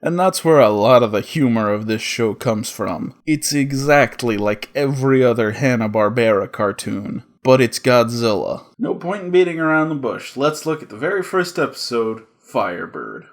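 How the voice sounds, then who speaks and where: A man's voice: medium pitch, lively intonation, normal speed, hardly any pauses. Sarcastic man hosting a comedic review show. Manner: sarcastic, profane. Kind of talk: scripted comedic cartoon review narration.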